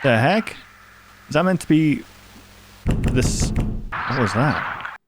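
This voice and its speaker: A man's voice: medium pitch, lively intonation, takes it slowly, noisy background. A man giving casual reaction commentary as a video host, thinking aloud informally and often posing questions. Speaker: YouTube host reacting to viewer-recommended horror videos. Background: prominent music behind the voice.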